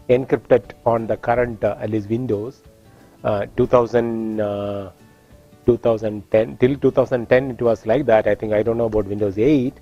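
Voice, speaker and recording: Man, Indian accent, course instructor, halting explanations, muffled recording, faint music behind. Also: mid-range voice, a little mellow. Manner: explanatory, with frequent uh fillers and restarts. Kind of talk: classroom lecture. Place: classroom.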